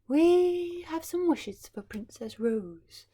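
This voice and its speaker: A woman voicing a gentle, kindly storybook fairy, sweetly declaring wishes. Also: high-pitched, lively intonation, takes it slowly, voice pushed up. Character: gentle, kindly good fairies granting wishes.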